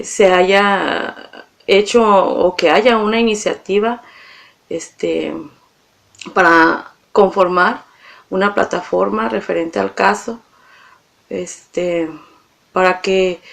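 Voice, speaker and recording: A woman giving halting spoken testimony, hesitant and plain-spoken as she appeals for justice. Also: medium pitch, creaky. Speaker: victim's relative appealing for justice.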